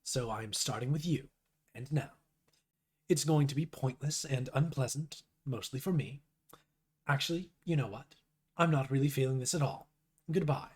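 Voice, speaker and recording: A man's voice: mid-range voice, moderate pace, pauses often, breathy edge, close to an everyday voice. A haughty alien troll woman from a webcomic, acted out by a man. She is weary, disdainful and stilted, talking down to everyone as if it were a chore. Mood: bored, disdainful.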